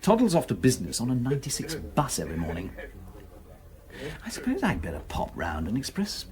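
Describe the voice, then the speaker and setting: A man's voice: mid-range voice, wildly swooping pitch, a little fast. An actor playing a jovial, idle, slangy club man in a radio drama, with an upper-class English accent. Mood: chatty. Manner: slangy, exclamatory.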